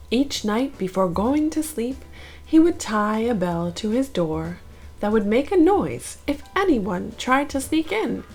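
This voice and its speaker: Female children's read-aloud narrator, mid pitch, slow and lively, light music bed. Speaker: storybook narrator, channel host.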